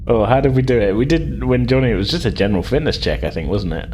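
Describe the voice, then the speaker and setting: A man's voice: medium pitch, expressive, quick pace, darkish tone, slight background noise. A man working as game master, narrating improvised scenes in a casual, loose conversational way.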